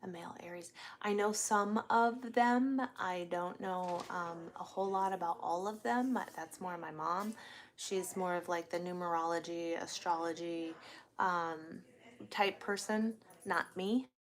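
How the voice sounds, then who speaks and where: A woman's voice: lowish voice, a little slow. A woman in her forties chatting casually and candidly on a livestream, rambling as she answers viewer comments. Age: 40s. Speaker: livestream host, truck driver.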